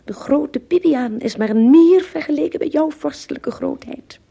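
A woman playing a pompous, excitable tiny king in a radio play, with a raspy, creaky voice and a grandiose manner. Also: high-pitched, moderate pace. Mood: grand, admiring.

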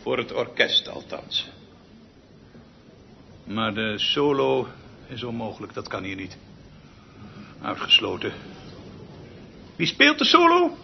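Pompous, theatrical orchestra conductor, muffled recording. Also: male voice, mid-range voice, very animated, slow, gravelly, pauses often, heavily acted. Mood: indignant. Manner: theatrical, emphatic, swinging from muttering to bellowing. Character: pompous, exasperated male orchestra conductor, perfectionist and tyrannical. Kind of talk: radio play acting. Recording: muffled, noisy old radio play recording.

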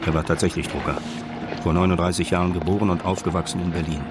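Low-voiced male crime drama narrator. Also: level tone, fast talker, very noisy.

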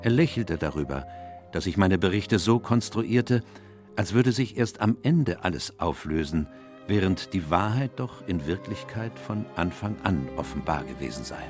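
Low-voiced, reflective gentleman chronicler. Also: expressive. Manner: measured, literary, reflective. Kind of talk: scripted radio play narration. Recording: music under the voice.

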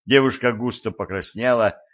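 A man's voice: mid-range voice, moderate pace. A man narrating an audiobook, reading the dialogue with small shifts for each character. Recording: dull lo-fi audio.

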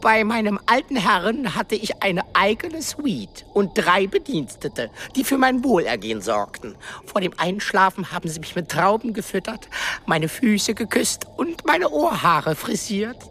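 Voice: medium pitch, sing-song, unhurried, sharp tone, a little hiss or hum, heavily acted. An actor playing a small, cheeky servant creature in a radio play, fawning and formal with muttered asides. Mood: wheedling. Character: small cheeky servant creature with hairy ears, obsequious, scheming.

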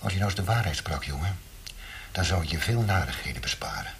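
An actor playing a menacing, mocking interrogator of a brutal regime in a radio play, sneering and theatrical, swinging from quiet threat to ranting. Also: male voice, low-pitched, expressive, fast, noisy background. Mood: sneering menace. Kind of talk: radio drama acting.

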